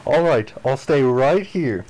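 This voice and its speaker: A man's voice: mid-range voice, expressive, takes it slowly, darkish tone, rough recording. A man reading visual novel narration and dialogue aloud, acting out the lines as he goes. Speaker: gaming video player reading aloud.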